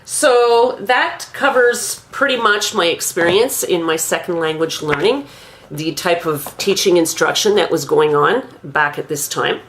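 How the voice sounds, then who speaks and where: Low-voiced canadian grandma from quebec, slow thoughtful talk with lots of pauses. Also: female voice, fairly bright tone, slight background noise. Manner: measured, thoughtful, with frequent pauses. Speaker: grandmother recounting her language learning. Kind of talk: reflective personal monologue.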